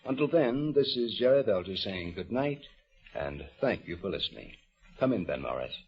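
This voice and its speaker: A man with an American accent hosting and narrating a scripted mid-century radio program in a measured, literary storytelling manner. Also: lowish voice, sing-song, husky. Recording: muffled old radio recording.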